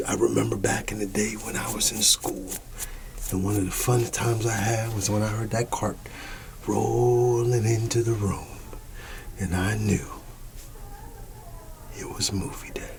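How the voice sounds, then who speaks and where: A man doing a soft-spoken ASMR roleplay as an easygoing, quirky schoolteacher, often dropping into a whisper and repeating short, folksy, reassuring phrases. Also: on the low side, steady tone, measured pace, pauses often. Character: quirky, easygoing male schoolteacher addressing his class. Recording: clearly audible background noise.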